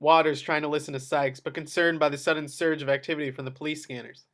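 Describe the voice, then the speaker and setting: A man's voice: on the high side, fairly even intonation, quick pace, fairly bright tone. A man narrating a screenplay's action descriptions at a table read. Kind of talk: screenplay stage-direction reading.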